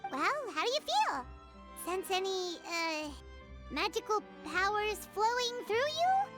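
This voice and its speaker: A tiny fairy companion from a video game, with an extremely high, squeaky child's voice. She is chirpy and excitable, her pitch bouncing around, over clearly audible music. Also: slow pace, full character voice. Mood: curious. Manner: chirpy, speaks of self in third person. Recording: music playing behind the voice.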